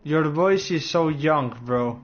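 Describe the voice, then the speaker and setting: A man's voice: a little high, takes it slowly, highs cut off, slight background noise. A man chatting casually in broken English while playing a game, tossing in slang. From Romania. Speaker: gamer streamer. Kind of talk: casual gaming chat.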